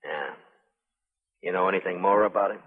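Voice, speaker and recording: An American man playing a stern, world-weary frontier marshal in a 1950s radio western, speaking tersely and gravely. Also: low-pitched, moderate pace. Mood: terse, businesslike. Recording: muffled, echoey vintage radio broadcast.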